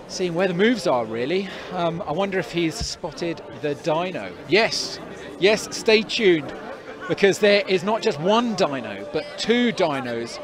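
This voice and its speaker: A man doing live sports commentary and interviews, chatty and rambling with many fillers and restarts. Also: high voice. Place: outdoors at a climbing event. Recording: crowd noise behind.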